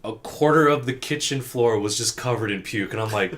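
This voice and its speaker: A man with a medium-pitched voice tells a story in a casual podcast chat. He relays it conversationally, quoting what people said and swearing freely. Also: fairly quick, a bit bright, a little hiss or hum. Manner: uses frequent quoted dialogue and swearing. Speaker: podcast host.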